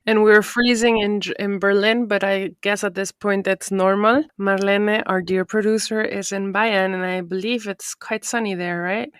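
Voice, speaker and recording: A woman's voice: mid-range voice, steady tone, moderate pace, hardly any pauses, clear, resonant tone, no room sound. Host: woman, mid-range voice, upbeat and jokey, dry close-mic sound. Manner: friendly, chatty, joking. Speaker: podcast host. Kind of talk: hosting an interview podcast.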